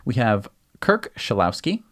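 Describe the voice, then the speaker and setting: A man co-hosting a show in casual chat, often jumping in with quick reactions. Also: medium pitch, big pitch swings, fairly bright tone. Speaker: co-host.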